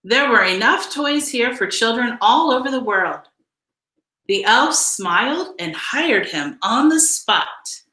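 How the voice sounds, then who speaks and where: A woman reading a children's picture book aloud in an expressive, deliberate storytelling style. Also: on the high side, echoey room. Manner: measured, deliberate storytelling delivery with emphasized phrases. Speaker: storybook reader.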